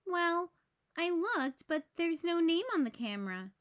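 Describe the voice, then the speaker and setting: An earnest little boy animal from a storybook, acted out by a woman reader. The voice is raised high and sounds childlike and eager, with careful, slow delivery. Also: very animated, full character voice.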